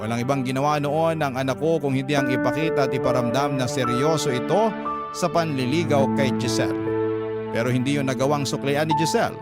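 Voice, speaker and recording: A man's voice: mid-range voice, steady tone, a little fast. A man narrating a listener's letter in the first person as a radio drama host, in a dramatic storytelling style. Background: loud instrumental music.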